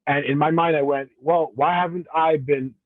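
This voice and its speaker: A man's voice: on the high side, steady tone, moderate pace, no room sound, narrow, tinny audio. A professional wrestler from Cameroon, a man answering interview questions in a casual, rambling way with frequent restarts. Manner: rambling, many restarts and self-corrections.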